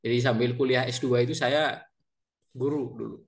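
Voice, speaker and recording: A man's voice: medium pitch, fairly even intonation, normal speed. A man in his thirties, a university lecturer with a Banyumasan ngapak Javanese accent, introducing himself to his class in a chatty way with many fillers and repeated words. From Central Java, Indonesia. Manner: lecturer addressing students politely.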